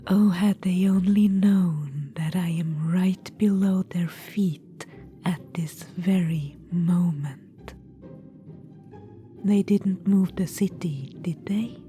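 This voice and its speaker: A woman voicing a cold, scheming undead witch-queen in a whispered ASMR roleplay, with a dry, mocking manner. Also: takes it slowly. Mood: sly, probing. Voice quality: whispered, soft and low. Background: music.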